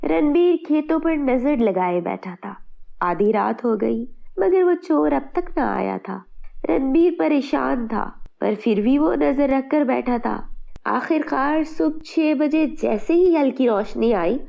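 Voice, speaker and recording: Female narrator for scripted children's moral stories: high-pitched, with some vocal fry. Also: muffled recording.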